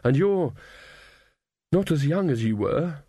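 A man voices a young witch woman in a storybook. The voice is a bit high, earnest and plaintive. The manner is timid and pleading. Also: animated, slow, dark tone, slightly rough, no echo, studio quality, full character voice. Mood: wretched.

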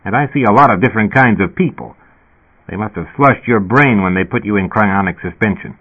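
A man's voice: lowish voice, a little fast, breathy edge. An exasperated policeman, voiced by a male narrator in an audiobook. He is blunt and incredulous, pushing his argument hard. The sound is narrow, like an old radio. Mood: scornful. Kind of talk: audiobook character voice.

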